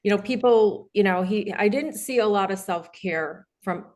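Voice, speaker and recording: A woman's voice: medium pitch, normal speed, darkish tone. A middle-aged woman, a podcast guest and coach, telling her life story in a candid, rambling way with many fillers and restarts. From Detroit, Michigan, USA.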